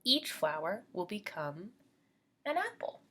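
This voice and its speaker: A woman's voice: medium pitch, very animated, unhurried, bright, forceful tone. An American woman teaching young children as she reads a picture book aloud, explaining simply and putting questions to her listeners.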